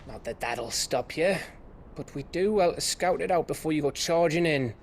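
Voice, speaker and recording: An actor playing a loyal, easygoing scout in a video game, down-to-earth and informal. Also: male voice, fairly high pitch, animated, moderate pace, a little hiss or hum. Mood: wry. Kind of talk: video game cutscene acting.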